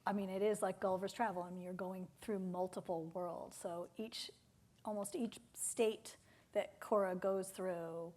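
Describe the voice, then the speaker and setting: A woman's voice: medium pitch, fairly even intonation, unhurried, a little mellow. A woman interviewing on stage in a literary conversation, tentative and searching as she rephrases her questions. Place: theater stage.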